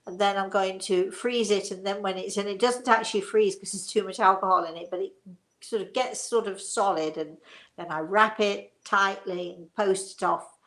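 A woman in her sixties hosts a casual chat in an effusive, wandering way. Her voice has a marked creak and sits at a middling pitch. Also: fairly even intonation, normal speed, a bit bright. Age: 60s. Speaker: host. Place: living room, indoors.

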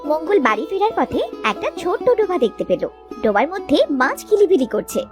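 A woman's voice: sits high, sing-song, fast, music in the background. A woman narrator telling a scripted cartoon folk tale.

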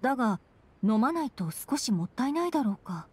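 A woman's voice: on the high side, sing-song, a little fast, slightly rough, no room sound. A woman voicing a dutiful young female knight commander in an anime game, formal, composed and polite. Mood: composed, concerned. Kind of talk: Japanese anime game voice acting.

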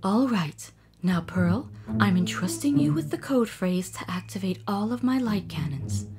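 A woman voicing a gentle, motherly alien leader who speaks in a soft, solemn and careful manner in a cartoon. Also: mid-range voice, animated, unhurried, over loud music, natural voice. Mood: soft, earnest.

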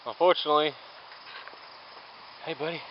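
A man's voice: on the high side, steady tone, moderate pace, a little hiss or hum. Man, casual rambling trail narration, dull lo-fi camera audio, faint crickets outdoors. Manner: rambling, easygoing, thinking aloud. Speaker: thru-hiker vlogger.

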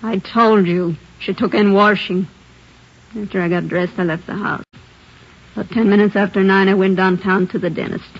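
A woman's voice: medium pitch, steady tone, moderate pace, lightly acted. An actress playing a defiant young woman suspected of murder, curt and snapping back, in a 1950s radio drama. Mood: defiant, sullen. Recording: dull old radio broadcast.

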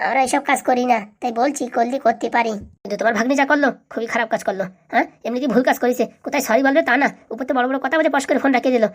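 Woman playing a fast-scolding, vengeful village uncle. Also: a little high, close to an everyday voice. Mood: indignant. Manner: scolding, indignant. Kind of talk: comic cartoon dialogue.

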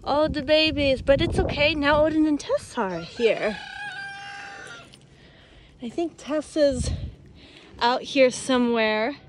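A woman's voice: high-pitched, slow pace, pauses often. A woman giving a casual outdoor tour on a handheld phone, talking affectionately as if to animals. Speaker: ranch owner giving a tour. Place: outdoors in an open dry pasture. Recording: noisy outdoor phone recording.

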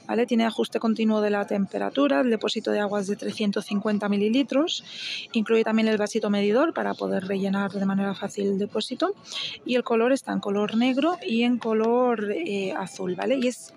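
A young woman talks viewers through a shopping haul in an easy, chatty way. She keeps checking in with them and corrects her own slips as she goes. Her voice carries some vocal fry, and music plays clearly underneath. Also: on the high side, mellow. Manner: chatty, self-correcting, frequent "¿vale?" check-ins. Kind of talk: casual product walkthrough.

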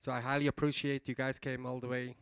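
Clear-voiced Central European man hosting a conference. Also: mid-range voice, little pitch movement, quick pace, a bit bright, no echo. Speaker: conference host. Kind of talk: welcome speech. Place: conference room before an audience. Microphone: handheld microphone held close.

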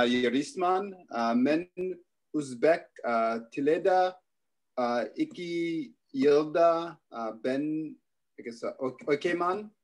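An older man speaking haltingly on a video call from a home study, with many hesitations. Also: fairly high pitch. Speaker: lawyer, language learner. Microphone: laptop webcam on video call.

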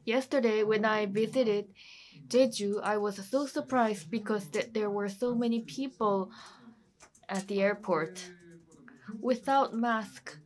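Slow-speaking woman with plain non-native English. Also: medium pitch, darkish tone, low-quality recording. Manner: slow, simple non-native English with small grammar slips. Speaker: ambassador panelist. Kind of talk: closing remarks. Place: conference stage.

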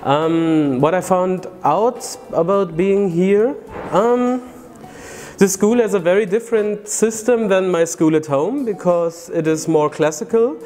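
A man's voice: high voice, measured pace, a little mellow. A young man, a film student answering interview questions in a thoughtful, rambling way. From Germany. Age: young adult. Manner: thoughtful, rambling, gesturing with his hands. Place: indoor corridor.

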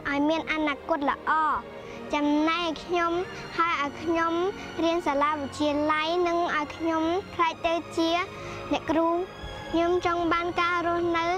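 A woman answering interview questions in a quick, high delivery. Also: level tone, over music.

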